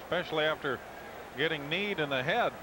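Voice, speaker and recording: A man giving relaxed baseball color commentary, adding short pieces of analysis to the play-by-play. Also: on the high side, a little fast. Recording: broadcast booth over loud crowd noise. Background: stadium crowd ambience.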